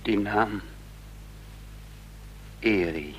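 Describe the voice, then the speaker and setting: An actor playing a troubled man caught up in intrigue, narrating his own story with measured drama in a radio play. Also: fairly low pitch. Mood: grave. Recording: faintly noisy, humming radio play recording.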